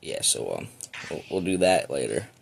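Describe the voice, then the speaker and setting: A man's voice: extremely low pitch, very animated, moderate pace. A man giving casual, slangy unboxing commentary in an informal, rambling way. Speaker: amateur video reviewer. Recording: clearly noisy home recording with clicks.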